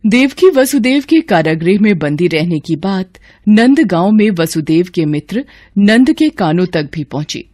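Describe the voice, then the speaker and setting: A woman's voice: on the low side, big pitch swings, unhurried, darkish tone, no echo, studio quality. A woman narrator reading a scripted devotional story.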